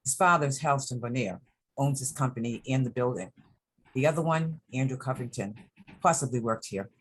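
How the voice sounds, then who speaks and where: A man reading the part of a deferential uniformed police officer in a crime thriller script, reporting plainly in a husky voice. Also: mid-range voice, moderate pace, slight background noise, lightly acted, low-quality recording. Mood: factual.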